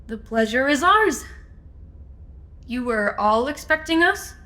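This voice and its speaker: A woman's voice: sits high, animated, slow, bright, forceful tone, exaggerated voice. An actress playing a curious young woman guest in an audio drama, polite, questioning and direct. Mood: curious, wary.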